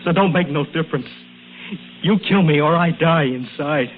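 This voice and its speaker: An actor playing a desperate, devoted young farm boy, halting and pleading in a radio drama. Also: on the high side, slight background noise, lightly acted. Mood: anguished, resigned. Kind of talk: old radio drama acting. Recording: narrow, humming vintage radio recording.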